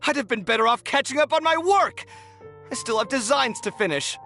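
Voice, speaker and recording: Exasperated young architect, bright forceful tone, high voice, dramatic game acting. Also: male voice, animated, fairly quick, gravelly, exaggerated voice. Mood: indignant. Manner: dramatic, exasperated, emphatic delivery. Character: excitable, indignant, debt-ridden young male architect. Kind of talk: animated video game voice acting. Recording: studio voice over game music. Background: game music.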